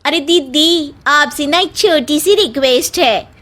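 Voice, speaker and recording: A woman's voice: high-pitched, unhurried, a bit bright, voice pushed up. A woman voicing a polite, chatty younger married cousin in a family story, speaking deferentially. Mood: modest, friendly. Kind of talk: dramatised Hindi family storytelling.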